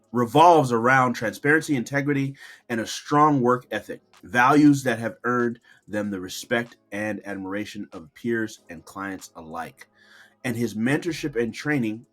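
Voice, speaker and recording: A man's voice: mid-range voice, fairly bright tone, breathy edge. A man hosting an interview podcast, talking in an emphatic, affirming way with conversational asides. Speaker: podcast host. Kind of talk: interview podcast introduction and casual questions.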